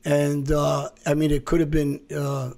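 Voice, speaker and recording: A man's voice: on the high side, monotone, unhurried, vocal fry, darkish tone. A man hosting an interview, talking bluntly and teasing his co-host. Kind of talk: casual interview talk.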